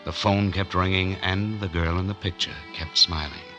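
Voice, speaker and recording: Low-voiced, wry hard-boiled drifter played by an actor. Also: male voice, fairly even intonation, normal speed, muffled recording, lightly acted. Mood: brooding narration. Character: broke, wry drifter narrating his hard-boiled tale. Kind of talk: 1940s radio noir acting and first-person narration. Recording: vintage 1940s radio recording with music behind.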